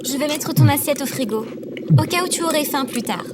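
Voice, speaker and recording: An earnest female robot assistant, pitched well up high. She is polite and naive, in the manner of audio drama. The background is heavily noisy. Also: sing-song, a little fast. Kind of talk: scripted audio drama acting.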